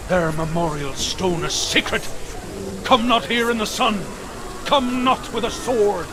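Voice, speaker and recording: Solemn saga reciter, high for a male voice, unhurried, incantatory, amid loud game noise. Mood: solemn, warning. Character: solemn storyteller reciting grim Norse lore. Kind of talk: incantatory dark fantasy game narration. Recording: heavy noisy game ambience behind.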